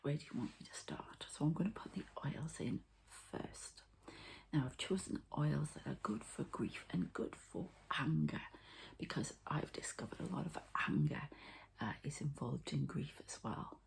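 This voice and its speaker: A woman hosting a whispered ASMR healing session, speaking in a soft, breathy, hesitant and meandering way. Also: sits low, sing-song, normal speed, rough recording. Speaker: host, spiritual healer.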